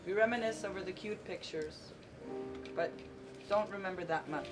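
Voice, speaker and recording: A woman's voice: mid-range voice, normal speed, close to an everyday voice. A woman acting a wistful caretaker who guides the audience through an amateur stage play. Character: wistful caretaker guiding audience between apartments. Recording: distant voice under loud music.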